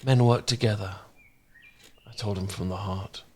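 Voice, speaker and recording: A man playing a smitten, bashful housemate in an intimate romance audio roleplay, speaking gently and sometimes haltingly. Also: on the low side, steady tone, husky. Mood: measured, reflective reading. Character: smitten, bashful male housemate, tender poetry reader.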